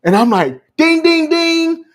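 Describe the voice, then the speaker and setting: A man's voice: very high-pitched, big pitch swings, takes it slowly. A man co-hosting a podcast, casually giving his opinions and explaining his points at length. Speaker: podcast co-host. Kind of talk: casual commentary chat.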